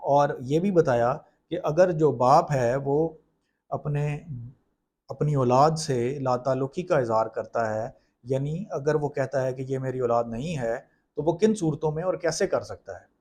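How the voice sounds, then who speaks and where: A man's voice: mid-range voice, a little slow, darkish tone. A man with a Pakistani accent explains law in an informal lecture style, mixing in English legal terms.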